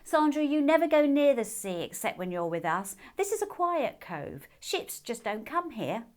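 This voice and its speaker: A woman's voice: high voice, very animated, moderate pace, a little mellow, voice pushed up. A woman voicing a sensible, bossy young girl in a children's story, firm and dismissive.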